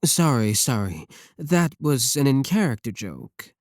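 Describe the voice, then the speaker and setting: A man's voice: mid-range voice, big pitch swings, measured pace, no room sound, clean studio sound. A man playing a clingy, possessive yandere VTuber, pleading defensively and intimately with a single viewer in an ASMR roleplay. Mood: obsessive, coaxing.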